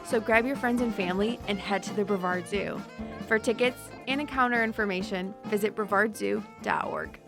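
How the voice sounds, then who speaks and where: A woman TV presenter reads scripted promotional narration at a medium pitch. Prominent music plays right behind her voice throughout. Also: big pitch swings, moderate pace.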